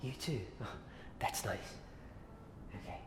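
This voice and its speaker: A man doing ASMR fantasy roleplay, soft and often slipping into a whisper, speaking gently and hesitantly to the listener. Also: slow pace, background noise. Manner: gentle, hesitant, repeats himself, addresses the listener.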